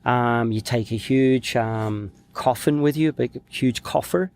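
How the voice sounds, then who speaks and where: A middle-aged man from New Zealand, a former pro snowboarder, telling stories in a relaxed interview. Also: mid-range voice, unhurried. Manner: relaxed storytelling, trails off with fillers. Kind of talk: casual interview chat.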